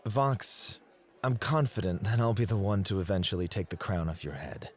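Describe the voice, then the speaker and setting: A man's voice: lowish voice, expressive, normal speed, lightly acted. Guy playing a club host in asmr rp, voice swoops around, sweet n polite but possessive. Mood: confident, possessive. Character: devoted, possessive, competitive male club host. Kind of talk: romantic ASMR roleplay.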